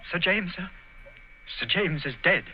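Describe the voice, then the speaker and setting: A grief-stricken colonel in a 1940s radio play, shaken and trailing off. His voice is slightly high. The old recording sounds dull and lo-fi with faint hiss. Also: expressive, a little slow. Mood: grieving.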